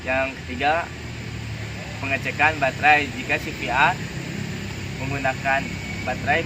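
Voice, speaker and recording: A young man reads out a student presentation at a middling pitch. His delivery is formal and goes point by point, over clearly audible background noise. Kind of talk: scripted presentation read aloud.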